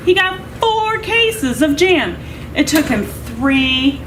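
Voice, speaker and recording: A woman reading a children's story aloud to a group, with expressive, emphatic delivery. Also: high voice, takes it slowly, noisy background.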